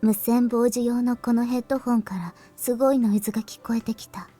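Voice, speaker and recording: A woman playing a grave, quiet teenage schoolgirl in a Japanese visual novel, speaking in a soft, breathy, measured way. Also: fairly high pitch, steady tone, soft background music, natural voice. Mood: serious, deducing. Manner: measured, hushed, serious.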